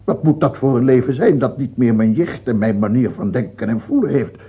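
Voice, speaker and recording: A fearful, doubt-ridden king of France near death in a radio play. His male voice is badly husky and swings widely in pitch as he halts, stammers and commands. The recording is tinny, like an old broadcast through a narrow band. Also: expressive. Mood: agitated, doubting. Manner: halting and stammering, turning imperious. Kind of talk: radio drama acting.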